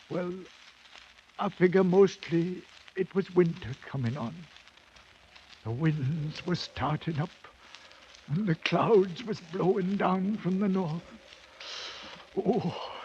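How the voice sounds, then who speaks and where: A loyal British soldier of fortune, high-voiced and very slow, rambling in a halting, folksy way. It sounds like a dull, muffled 1950s radio play. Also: male voice, darkish tone. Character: dazed, loyal British ex-soldier recalling his lost comrade. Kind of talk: 1950s radio drama acting. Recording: dull, faintly noisy 1950s radio recording.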